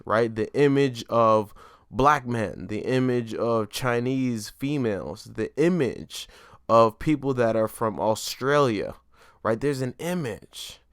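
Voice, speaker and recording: A man's voice: medium pitch, clean tone, no echo, clean studio sound. A man hosting a solo podcast, talking casually and keeps checking in with "right?" and "you know what I mean?". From New York. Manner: emphatic, stresses key words. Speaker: podcast host. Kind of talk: solo motivational podcast talk.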